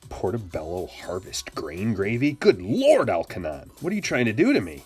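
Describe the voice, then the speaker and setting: A very deep-voiced man from Michigan riffs playfully with his chat while opening packs of cards. He rambles and jokes in a loose, unscripted way, with faint music playing softly behind him. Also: wildly swooping pitch, moderate pace, husky. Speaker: card-break streamer.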